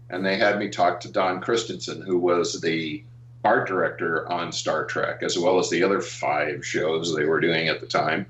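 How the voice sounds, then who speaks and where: An older American man reminiscing in a casual interview, speaking haltingly with frequent pauses and ums. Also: low-pitched, a bit bright. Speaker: interview guest, animation artist and art director. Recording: roomy, dull, distant call-like audio.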